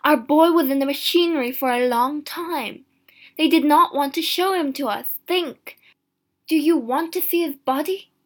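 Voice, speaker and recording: An old storybook husband, played by a woman reader, fearful and troubled. The voice is raised and high, with halting, emphatic pleas for reason. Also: close to an everyday voice. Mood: exasperated, pleading.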